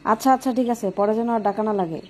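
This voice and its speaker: A woman voicing a sharp-tongued mother in a comic cartoon, scolding emphatically with a Bangladeshi accent. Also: fairly high pitch, quick pace, natural voice. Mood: sarcastic.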